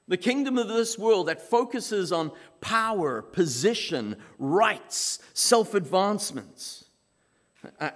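A man from South Africa with a South African accent, preaching a sermon as pastor in measured, emphatic phrases with dramatic pauses. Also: a little high. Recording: long reverb of a large hall.

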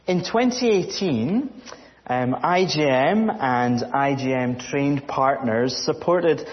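A man with a Scottish accent giving a sermon, speaking earnestly with frequent pauses and fillers. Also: medium pitch, animated, dull audio. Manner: halting with fillers, earnest and grateful. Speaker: guest speaker.

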